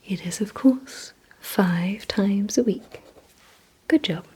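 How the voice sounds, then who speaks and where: Slow, breathy whispering woman. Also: medium pitch. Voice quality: breathy, mostly unvoiced whisper. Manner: slow, deliberate, gently instructing. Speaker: ASMR host. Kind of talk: whispered ASMR vampire roleplay, addressing the listener.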